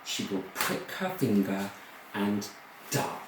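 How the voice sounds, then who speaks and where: A man voicing a haughty, menacing evil fairy who speaks in slow, imperious pronouncements. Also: fairly low pitch, big pitch swings, heavy background noise. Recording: very echoey room, far from mic.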